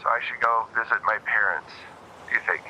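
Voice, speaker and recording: A man's voice: fairly low pitch, steady tone, a little fast, sharp tone, a little hiss or hum, low-quality recording. A man voicing an anxious, suspicious bride-to-be in a tabletop game, questioning and hesitant. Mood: worried, suspicious. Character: anxious, suspicious bride-to-be fretting over wedding plans.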